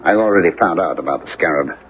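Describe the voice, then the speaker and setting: A man's voice: sits low, fast, slight background noise, close to an everyday voice. An actor playing an insistent, obsessed male archaeology professor with alien memories in a 1970s radio drama. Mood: hushed excitement. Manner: insistent, intense. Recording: thin, narrow-band 1970s radio recording.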